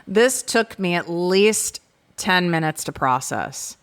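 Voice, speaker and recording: A woman hosting her own podcast, talking frankly and with self-deprecating humor in an energetic solo monologue. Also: fairly low pitch, slow, smooth. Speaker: podcast host.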